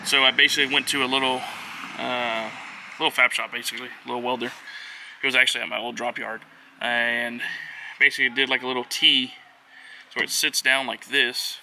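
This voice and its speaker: A man's voice: medium pitch, little pitch movement, brisk. A man giving a casual, unscripted how-to walkthrough as a vlogger, talking in an offhand, hesitant way with trailing phrases. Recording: noisy on-location vlog audio.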